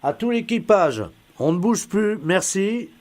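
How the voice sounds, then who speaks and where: A man's voice: sits high, animated, unhurried, loud music behind the voice. A man playing a solemn, matter-of-fact submarine captain on a prank call, giving official-sounding orders with a straight face. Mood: commanding, procedural. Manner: official, earnest, barking orders to crew. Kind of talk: deadpan telephone prank.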